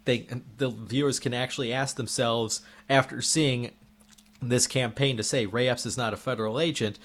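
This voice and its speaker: A man's voice: mid-range voice, fairly even intonation, normal speed, a bit bright. A man delivering an indignant opinion monologue as a political commentator, firing off rhetorical questions while losing his voice.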